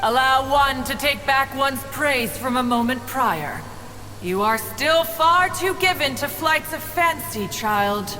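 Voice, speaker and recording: A woman's voice: high-pitched, expressive, slow, sharp tone, heavily acted. An actress voicing a proud old immortal woman in a video game, aloof and wry, speaking in a formal, lofty way. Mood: scolding. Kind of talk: scripted video game acting. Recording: long reverb, music behind.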